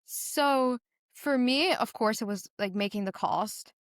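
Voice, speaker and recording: A woman's voice: fairly high pitch, slow, no echo. Teenage girl, earnest, dry close sound. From Singapore. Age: teens. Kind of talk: conversational interview answers.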